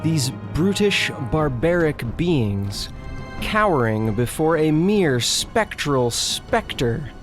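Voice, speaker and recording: A man's voice: a little high, very animated, takes it slowly. Male performer as condescending Imperial storyteller, haughty and scornful, ornate scripted delivery, music underneath. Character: pompous, condescending Imperial man sneering at provincial peasants. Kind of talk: theatrical scripted recap narration. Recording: music behind the voice.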